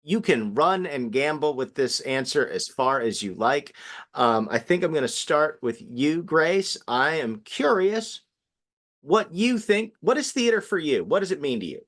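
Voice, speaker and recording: A man's voice: a little high, sing-song, measured pace, a bit bright. A man hosting an online panel in a casual, joking, informal way. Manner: informal, playful. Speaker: panel moderator, host.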